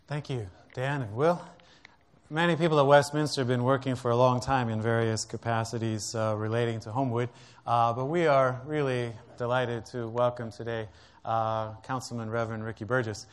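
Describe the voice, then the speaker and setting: A man's voice: medium pitch, little pitch movement, moderate pace. A man acting as moderator, courteously introducing a guest speaker at a church event with small hesitations. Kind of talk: formal introduction.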